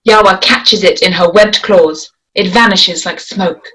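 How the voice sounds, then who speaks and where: A woman's voice: lowish voice, a little slow, fairly bright tone, rough recording. A woman reading stage directions as scripted narration for a screenplay.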